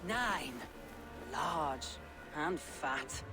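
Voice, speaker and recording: A woman playing a bold, steady Viking warrior, direct and terse, in a game cutscene. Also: low-pitched, drawn-out words, over music, exaggerated voice. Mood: reassuring. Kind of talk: video game cutscene acting.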